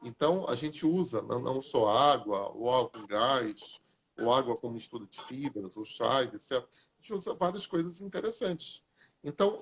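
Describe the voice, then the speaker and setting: A man hosting a casual live talk, chatty and lively, asking questions and answering them himself. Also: medium pitch, slightly rough. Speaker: host. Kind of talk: casual live talk giving diet tips.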